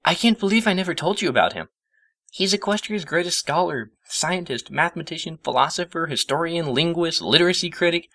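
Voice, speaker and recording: A man voicing a bookish, excitable young female pony who gushes earnestly and gets flustered, in a storybook reading. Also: on the high side, a little fast, pitched above the performer's own voice, heavily acted. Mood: wistful admiration. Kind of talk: storybook character voice.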